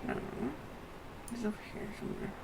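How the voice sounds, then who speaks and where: A woman's voice: fairly quick, very noisy. A woman streaming a game, giving casual commentary and muttering to herself in short phrases. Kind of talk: casual live gameplay commentary.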